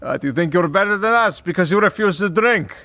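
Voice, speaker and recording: A man's voice: high-pitched, animated, fast talker, pushed and bright. A man voicing a cocky, predatory vampire villain in an audio roleplay, with a mocking, drawling, theatrical delivery. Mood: scornful, boastful. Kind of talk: scripted audio roleplay, ASMR drama.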